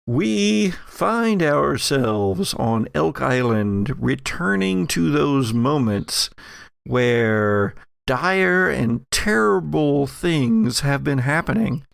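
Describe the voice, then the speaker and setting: Male horror game narrator. Dramatic, unhurried storyteller with a creaky edge, closely recorded. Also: a little high, big pitch swings, no echo. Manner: theatrical, deliberate storytelling. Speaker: game master and host.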